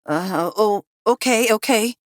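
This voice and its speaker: A woman's voice: on the low side, extremely slow, a bit bright, no room sound, clean studio sound, pitched above the performer's own voice, full character voice. Woman playing a frightened, stammering younger man. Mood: scared. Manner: stammering, gasping, pleading. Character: frightened younger man, whining, pleading researcher.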